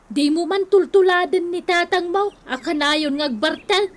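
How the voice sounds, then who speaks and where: A woman's voice: very high-pitched, normal speed. An actress playing a long-suffering, nagging older mother and wife in a Filipino radio comedy drama, with a Filipino accent. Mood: pleading, scolding.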